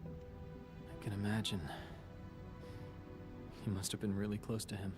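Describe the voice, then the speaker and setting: A man as a calm, low-voiced game companion. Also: a little slow, lightly acted. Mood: reassuring. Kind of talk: video game voice acting. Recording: game music behind. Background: game music.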